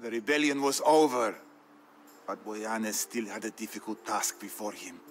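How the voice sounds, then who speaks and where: A man acting as a solemn Byzantine Greek storyteller, narrating his family's war tale for a game in a grave, measured, theatrical way. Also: on the low side, faint music behind, lightly acted. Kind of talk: dramatic scripted narration.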